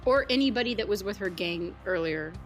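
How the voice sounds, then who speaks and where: A woman's voice: mid-range voice, expressive, fairly quick. Woman playing a tabletop game, faint music. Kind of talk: casual in-game chat and roleplay.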